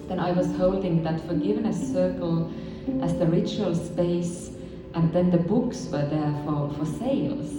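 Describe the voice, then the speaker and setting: A woman whose first language is Estonian, a poet speaking slowly and dreamily, with many pauses, at a live reading. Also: on the low side. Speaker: poet reading at a poetry night. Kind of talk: spoken introduction and poetry reading. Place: live event hall with handpan music. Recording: distant, reverberant, dull hall recording.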